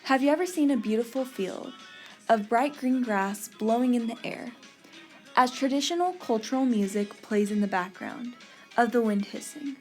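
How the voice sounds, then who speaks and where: A woman's voice: on the high side, moderate pace, faint music behind. A young woman from Texas hosting a podcast, giving an earnest scripted narration. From Texas, USA. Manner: earnest, read-aloud delivery. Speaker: podcast host.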